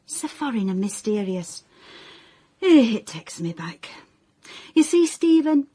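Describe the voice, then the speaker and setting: A woman's voice: mid-range voice, a little mellow. An actress playing a nagging older mother in a radio comedy drama, dry, sceptical and wistful, with a South Yorkshire accent. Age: middle-aged or older.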